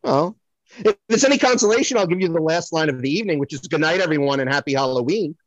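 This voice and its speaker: A man's voice: on the high side, expressive, quick pace, no room sound. A man co-hosting a comedic podcast skit with a hesitant, needling manner, on a dull, dry microphone. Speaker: podcast co-host and showrunner.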